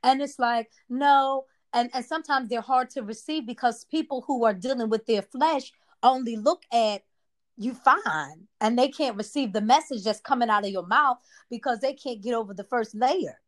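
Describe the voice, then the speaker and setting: A woman's voice: a little high, moderate pace. A woman co-hosting a casual chat, making her points emphatically and drawing responses in a preachy call-and-response way.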